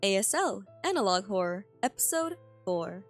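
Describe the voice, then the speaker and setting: A woman's voice: medium pitch, sing-song, moderate pace. A woman narrating a scripted horror video recap as its host, with informal, slangy asides. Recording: music bed under the voice. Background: piano and eerie background music.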